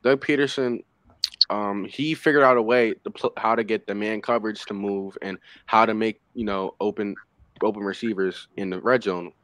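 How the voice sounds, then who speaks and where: A young man with a lowish voice talks football casually over a video call headset, rambling and full of fillers. The sound is dry and close, with no room around it. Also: steady tone, moderate pace, fairly bright tone, no echo, low-quality recording. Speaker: panelist. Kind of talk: casual sports chat.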